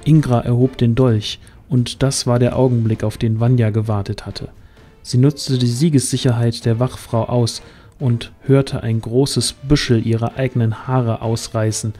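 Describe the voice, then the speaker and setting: Mellow male fantasy narrator. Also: medium pitch, fairly even intonation, normal speed, soft background music. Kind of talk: scripted dramatic story narration.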